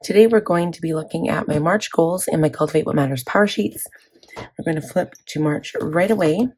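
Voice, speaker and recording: A woman in her thirties, hoarse from laryngitis, chatting casually about her planner with many fillers. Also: low voice, fairly quick, a little mellow. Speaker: planning vlogger. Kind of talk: casual chat walking through a planner.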